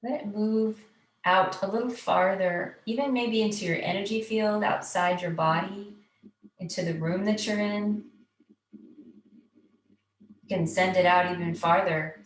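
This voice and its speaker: A woman leading a live guided meditation, giving soothing, unhurried, gently coaxing instructions. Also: medium pitch, steady tone. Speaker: guided meditation teacher, host. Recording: roomy echo, distant mic, loud music behind. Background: loud meditation music.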